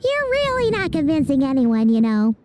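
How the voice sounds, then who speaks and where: A woman voicing a tiny, childlike fairy companion in a video game, squeaky, cheeky and blunt. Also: wildly swooping pitch, moderate pace. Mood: skeptical, teasing. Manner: blunt, skeptical, pert. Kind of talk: video game character acting. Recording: music under the voice.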